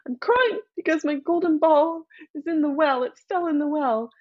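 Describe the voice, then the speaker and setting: A woman's voice: high-pitched, moderate pace, no echo, muffled recording, pitched above the performer's own voice, natural voice. A woman voicing a spoiled young princess who talks in a careless, dismissive way. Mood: upset.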